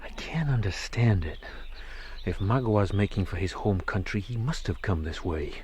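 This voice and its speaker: A wary frontier tracker acted by a man in a radio drama, low-pitched and intent. He mutters watchfully, with faint outdoor ambience around him. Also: lively intonation, normal speed, darkish tone, a little hiss or hum, natural voice. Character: wary frontier tracker hunting a cunning enemy.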